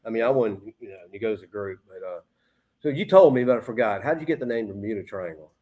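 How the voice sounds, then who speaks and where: Fast-talking, rambling man. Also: fairly low pitch, lively intonation, mellow. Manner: rambling, informal. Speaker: sports talk show host. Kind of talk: casual chat.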